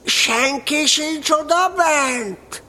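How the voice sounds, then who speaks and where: An actor playing a slow, naive, good-natured toy bear in an audio drama, musing earnestly and politely. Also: sits high, sharp tone, slight background noise. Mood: calling out politely. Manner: musing, earnest, polite, hums nonsense syllables. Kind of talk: audio drama character acting.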